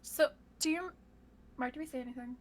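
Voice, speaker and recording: A woman's voice: high-pitched, a little fast. A woman in casual, playful, mouthy banter as a co-player on a game stream.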